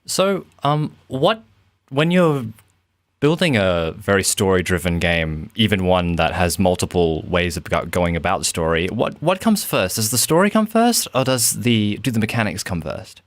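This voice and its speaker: A man's voice: sits low, sing-song, moderate pace, no room sound. A man interviewer putting a question conversationally, rephrasing as he goes.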